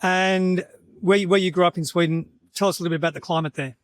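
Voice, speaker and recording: Aussie guy hosting interviews, voice a bit on the high side, dry close sound. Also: fairly even intonation, fast, clean tone, no echo. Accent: Australian. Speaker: host interviewer. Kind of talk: presenting and conversational interviewing.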